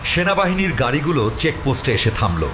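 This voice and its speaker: A man's voice: on the high side, very animated, fairly quick, noisy background. A man giving dramatic scripted narration of a story. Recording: music bed under the narration. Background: prominent music.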